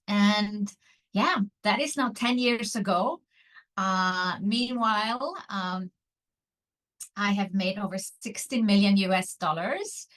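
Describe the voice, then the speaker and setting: A woman's voice: mid-range voice, fairly bright tone, rough recording. Woman, earnest business mentor. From Iceland. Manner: earnest, frequent filler pauses. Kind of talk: interview guest telling her story.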